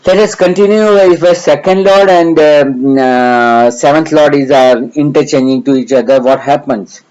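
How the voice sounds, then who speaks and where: A man's voice: on the high side, steady tone, moderate pace, a little mellow, muffled recording. A middle-aged man from India with a Gujarati accent, teaching astrology in a hesitant, earnest way with many fillers. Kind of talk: lecture.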